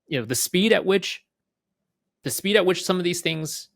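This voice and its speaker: Dry-recorded man explaining in halting bursts. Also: sits high, animated, gravelly, no echo. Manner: halting, repeats words, frequent "like" and "right?" tags. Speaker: interview guest, head of product at a software company. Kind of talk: casual interview conversation, explaining.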